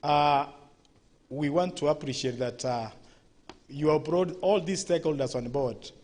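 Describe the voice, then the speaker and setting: A man's voice: on the high side, a little slow, darkish tone. A man with a Kenyan accent, a ministry director giving formal salutations at a launch event, with frequent hesitations. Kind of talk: formal address.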